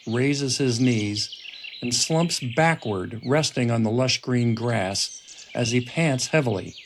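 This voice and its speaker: A man's voice: mid-range voice, a little hiss or hum. A man narrating screenplay scene directions as scripted narration. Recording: faint music and birdsong effects behind.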